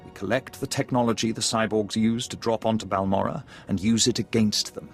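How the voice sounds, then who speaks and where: A man acts out a refined game villain in uniform. His voice is on the low side, formal and precise, with haughty, calculating menace. Quiet music plays behind him. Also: steady tone, fairly quick, lightly acted. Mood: coolly pleased. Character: refined, calculating, coolly menacing Imperial officer. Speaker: video game voice actor. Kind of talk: scripted game cutscene dialogue.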